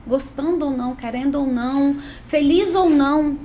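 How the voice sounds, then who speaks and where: A woman hosting a podcast, talking in a blunt, slangy, self-mocking way with dark jokes. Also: high voice, moderate pace, slight background noise. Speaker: podcast host. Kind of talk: casual interview chat.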